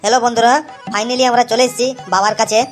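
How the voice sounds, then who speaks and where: A man's voice: extremely high pitch, steady tone, brisk. A young male cartoon pilgrim, voiced by a man, weary but devout and chatty. He rattles along, circling back over his words. Music plays faintly behind him. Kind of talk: amateur cartoon dialogue, vlog-like.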